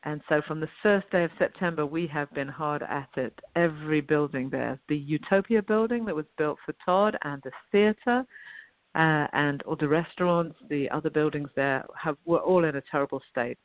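A woman's voice: low voice, normal speed, dry recording. A woman with a British accent, a guest phoning in to a radio show, chatting upbeat and thinking aloud. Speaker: guest, venue owner. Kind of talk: phone-in radio interview. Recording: tinny phone line.

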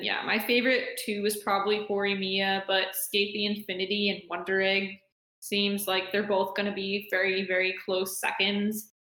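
A woman co-hosting a podcast, chatting casually in a relaxed, easygoing way with hedged opinions. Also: medium pitch. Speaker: podcast co-host. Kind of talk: casual chat. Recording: echoey room.

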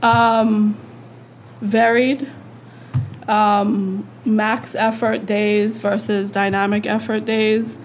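A woman from Hawaii in her forties chatting casually as a podcast guest, relaxed and using fillers. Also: medium pitch, extremely slow, a little hiss or hum. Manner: relaxed, answers briefly with fillers. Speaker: guest host, coach.

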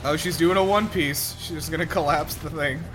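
A young man in his twenties hosts a loose, chatty film commentary. He is inquisitive and reacts casually, with clear music playing behind his voice. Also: a little high, normal speed. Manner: inquisitive, asks lots of questions. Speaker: podcast host.

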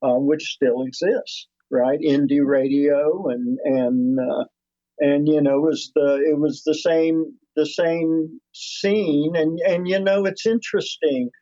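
A man's voice: on the high side, steady tone, takes it slowly, dark tone, dry recording, lo-fi sound. A man in his seventies, a musician and producer, telling his story in a casual interview with many restarts and fillers. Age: 70s.

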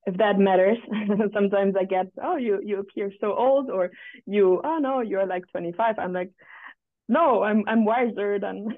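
A woman's voice: mid-range voice, moderate pace, no echo. A woman in her twenties from Berlin, chatting casually as an interview guest and thinking aloud in a reflective, tentative way. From Berlin, Germany. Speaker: podcast guest. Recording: thin, phone-like remote call audio.

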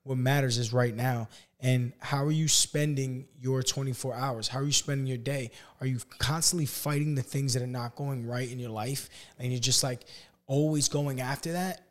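An earnest man hosting a podcast, talking in an easy, heartfelt way. His voice is a little hoarse and sits in a middle register. He quickens when he gets caught up in a story. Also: mid-range voice, steady tone. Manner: earnest, speeds up when telling stories. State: slightly hoarse. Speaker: podcast host. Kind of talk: casual podcast chat.

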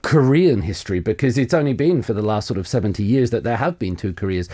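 Mellow-voiced man from southern England. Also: lowish voice, fast talker. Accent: Southern English. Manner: relaxed, filler-heavy explaining with frequent ums. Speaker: guest history commentator. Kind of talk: casual radio chat. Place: radio studio.

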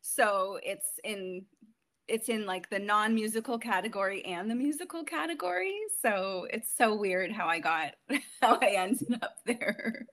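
A woman's voice: medium pitch, unhurried, fairly bright tone. A woman in her thirties from Ontario, Canada, a guest actress chatting in an interview, telling stories and laughing often. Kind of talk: casual interview chat.

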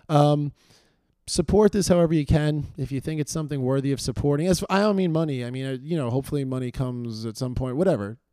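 A hoarse man hosts his podcast, rambling and self-deprecating with lots of fillers. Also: a little high, mellow, dry recording, clean studio sound. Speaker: podcast host. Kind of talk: casual solo intro monologue.

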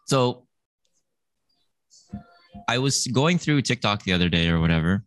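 A man's voice: lowish voice, expressive, measured pace, fairly bright tone, no echo, faint music behind. A man hosting a casual chat among friends, rambling with many fillers. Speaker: podcast host.

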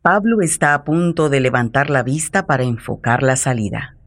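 A man's voice: mid-range voice, normal speed, fairly bright tone, close to an everyday voice. A man playing a tense narrator in a radio drama, telling the story with urgency. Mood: urgent, tense. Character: tense male narrator of a man about to flee.

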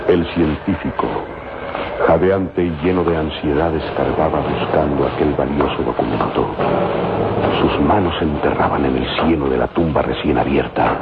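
A man narrating a radio serial in a solemn, measured, theatrical style. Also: a bass voice, very noisy. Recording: tinny, phone-like old radio recording.

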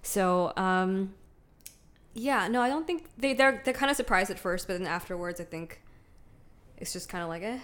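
A woman's voice: medium pitch, expressive, normal speed, fairly bright tone. A young woman from Malaysia with a slightly American accent, chatting freely as a guest in a podcast conversation, full of "like" fillers. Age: young adult. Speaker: guest, emerging actor.

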